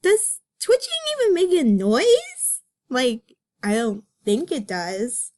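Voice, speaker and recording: A woman with a slightly high voice hosts a comic reading in a casual, chatty way. She takes her time and sounds playful, sarcastic and self-mocking, with touches of mock drama. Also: huge pitch swings, slow pace, warm tone.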